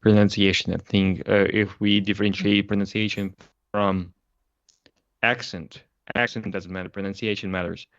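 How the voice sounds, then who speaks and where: A man's voice: lowish voice, little pitch movement, normal speed, no room sound, highs cut off. A Russian man with a Russian accent, talking through his views as an interview guest, explaining with examples. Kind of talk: casual conversation.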